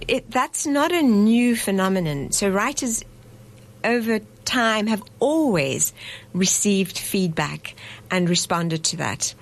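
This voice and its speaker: A woman's voice: medium pitch, expressive, slow. A woman in her fifties, a festival director from South Africa, talking in a radio studio interview, thoughtful and correcting herself as she goes. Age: 50s. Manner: thoughtful, self-correcting, reflective.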